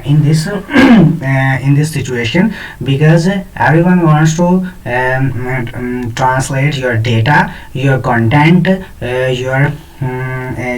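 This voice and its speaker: A man's voice: mid-range voice, distant mic, poor audio. A man hosting a screen tutorial, talking hesitantly with many fillers and restarts. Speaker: tutorial host. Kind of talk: screen-recorded software tutorial.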